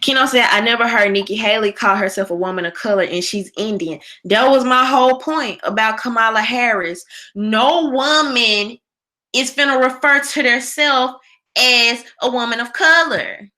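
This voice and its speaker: A woman's voice: on the high side, moderate pace, sharp tone. An American woman hosting a casual live chat, talking in a colloquial, opinionated and emphatic way as she responds to viewers. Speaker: live show host. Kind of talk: casual livestream chat, reading and answering viewer comments.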